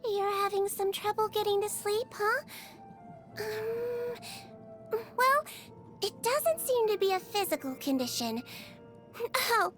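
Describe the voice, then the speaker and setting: A woman voicing a small, sweet young Melusine nurse in a video game, with a gentle, caring, bubbly manner. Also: very high-pitched, full character voice. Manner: gentle, cutesy, bubbly, attentive bedside manner. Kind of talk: video game character voice lines.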